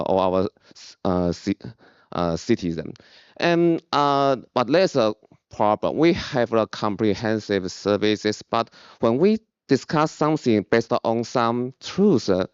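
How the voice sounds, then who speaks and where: Male physician, deep resonant voice, halting panel talk. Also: clean tone, no room sound. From Taiwan. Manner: hesitant, with many filler pauses. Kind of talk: panel remarks in English. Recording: dry, dull lo-fi conference recording.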